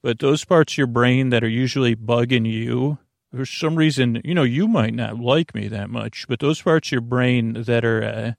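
A male podcast host with a gravelly, creaky voice rambles through an improvised bedtime monologue. He is halting, reassuring and self-deprecating, recorded dry and close with no room sound. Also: mid-range voice, no echo.

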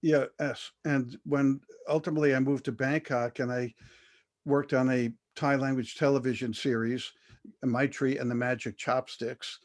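An older man telling his life story as an interview guest, measured and thoughtful, with frequent fillers and restarts. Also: mid-range voice, little pitch movement.

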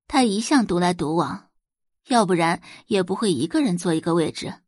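A woman's voice: on the low side, expressive, moderate pace, darkish tone, no room sound, studio quality. A woman reading a scripted story expressively as an audiobook narrator.

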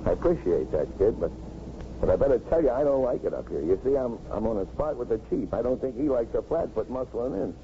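An actor playing a plain-spoken, kindly American traffic cop with a coaxing, colloquial manner in a 1950s radio drama. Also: male voice, medium pitch, animated, fast talker, warm tone, natural voice. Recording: muffled, lightly noisy 1950s radio broadcast.

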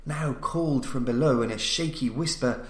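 A man narrates gothic horror in a mid-pitched voice, in a roomy, echoing recording. His delivery is theatrical and solemn, built for suspense like a dramatic audiobook reading. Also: moderate pace. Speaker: narrator, podcast reader.

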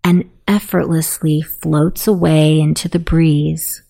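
Slow, creaky-voiced woman guiding sleep meditation. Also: low voice, level tone. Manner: soothing, unhurried, softly spoken. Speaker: guided meditation host.

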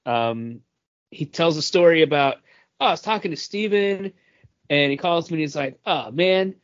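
A man co-hosting a casual entertainment news chat, halting as he searches for words. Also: on the high side, lively intonation. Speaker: podcast co-host presenting news. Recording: dull lo-fi sound.

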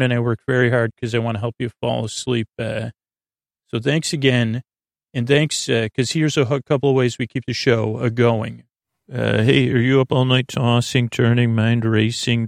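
A man with a creaky, gravelly voice hosts a bedtime podcast. He meanders through improvised digressions, stopping and restarting mid-word. The sound is close and very dry, and his pitch sits in a middle male range. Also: dry recording. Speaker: podcast host. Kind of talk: rambling improvised bedtime monologue.

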